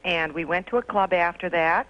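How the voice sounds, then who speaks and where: A woman's voice: on the low side, normal speed. A woman calling a talk show by telephone, chatting in a cheeky, familiar way. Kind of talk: casual phone-in chat. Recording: dull lo-fi telephone line.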